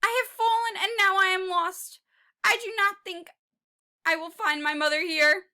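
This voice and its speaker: Woman voicing a tiny, earnest, high-pitched little boy dinosaur. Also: steady tone, normal speed, pushed and bright, exaggerated voice. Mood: worried. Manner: earnest, declarative, emphatic. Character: tiny earnest young male T. rex, determined to help.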